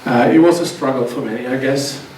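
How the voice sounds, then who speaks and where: Man, middle-aged, guest lecturer; halting, restart-heavy delivery, echoey hall, faint hiss. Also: medium pitch, a little mellow. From Finland. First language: Swedish. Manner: hesitant, many restarts and repeated words. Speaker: guest speaker, university lecturer. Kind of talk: seminar talk to students. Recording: echoey hall, distant mic, faint noise.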